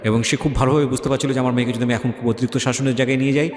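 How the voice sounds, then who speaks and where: A man's voice: medium pitch, steady tone, rapid-fire. A man with a Bangladeshi accent hosting a conversational radio interview, mixing English phrases into his talk. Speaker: radio show host. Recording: echoey with long reverb, music behind.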